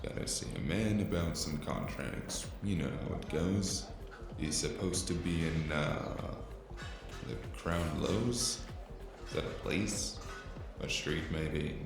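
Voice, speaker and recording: A man's voice: extremely low pitch, slow pace, vocal fry, exaggerated voice. A man playing a dry, weary, hesitant investigator in a cyberpunk noir audio drama. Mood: awkward, flirting. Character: male freelance investigator, dry, weary, awkwardly flirtatious noir loner. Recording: music behind the voice.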